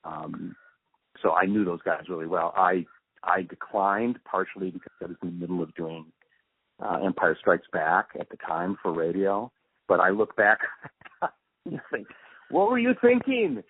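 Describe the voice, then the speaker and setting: A man being interviewed, recalling his work in a casual, halting and reflective way, often trailing off mid-thought. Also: low-pitched, animated, breathy edge, no room sound. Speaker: interview guest, radio drama producer and sound mixer. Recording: muffled, narrow phone-like interview recording.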